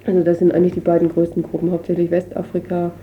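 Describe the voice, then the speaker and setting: A woman's voice: on the low side, monotone, very fast. A woman giving spontaneous interview explanations in a colloquial, hesitant way, searching for words as she goes. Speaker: interviewee, refugee council representative. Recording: dull lo-fi radio recording, noisy.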